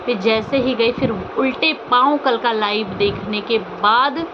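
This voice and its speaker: A woman's voice: a little high, measured pace. A woman speaking off the cuff as a social media commentator, indignant and reproachful, throwing out rhetorical questions. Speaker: social media vlogger commenting on online drama. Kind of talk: unscripted opinion commentary on a personal scandal. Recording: muffled, lo-fi, loud vehicle and ambient noise.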